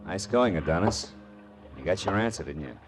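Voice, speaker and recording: An actor playing a wry, world-weary American private detective in a radio drama, sardonic and deadpan. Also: male voice, low-pitched, normal speed, over music. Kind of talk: old-time radio detective acting and narration.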